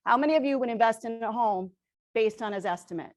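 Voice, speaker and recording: A woman presenting to a room of real estate agents, energetic and quizzing the audience, who says she is losing her voice. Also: a little high, fast. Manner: energetic, asks the audience questions, tags lines with "right?". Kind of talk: sales presentation to a live audience.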